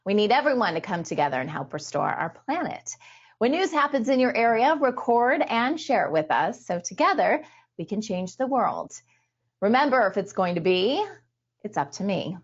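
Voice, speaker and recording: A woman's voice: medium pitch, big pitch swings, normal speed, slightly rough, dull audio. Enthusiastic woman news anchor. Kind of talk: scripted news sign-off. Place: studio with city backdrop.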